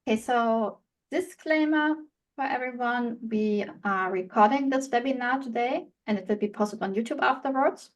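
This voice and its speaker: A woman's voice: a little high, normal speed. A woman from Germany, moderating an online webinar and giving informal housekeeping instructions.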